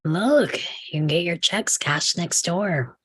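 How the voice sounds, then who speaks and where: Woman acting a husky, falsely cheery snob. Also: on the low side, expressive, slow, fairly bright tone, dry recording, full character voice. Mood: snobbish. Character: polished, falsely cheery snobbish rich woman. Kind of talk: screenplay table read acting.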